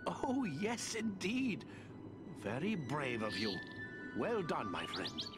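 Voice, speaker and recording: An actor playing a dotty, cheerful, crafty old wizard in a fantasy game, with a whimsical, rambling, theatrical manner. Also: male voice, on the high side, sing-song, slow, over loud music. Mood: delighted.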